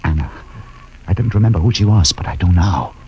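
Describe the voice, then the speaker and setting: An actor playing a haunted male ship's doctor in a 1950s radio drama, talking slowly and gravely. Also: deep voice, expressive, warm tone, exaggerated voice. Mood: brooding. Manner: slow, grave, musing. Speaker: Doctor Horst.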